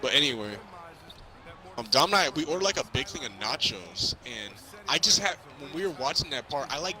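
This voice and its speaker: A man's voice: mid-range voice, sing-song, a little fast. Young man, rambling over crowd noise. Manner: rambling, stammering storytelling with slang. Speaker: co-host of a movie review chat. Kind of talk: casual spoiler-filled movie chat.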